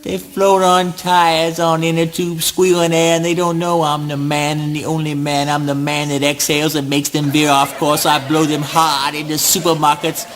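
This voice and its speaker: A man's voice: fairly high pitch, completely flat delivery, moderate pace, hardly any pauses, a bit bright, soft background music, lightly acted. A man playing a strange, grandiose misfit in a theatrical spoken word play, with obsessive, repetitive, stammering delivery. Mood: dreamy, brooding, then boastful, menacing. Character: Eugene, strange grandiose male misfit, menacing and childish. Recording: noisy early-nineties amateur audio play.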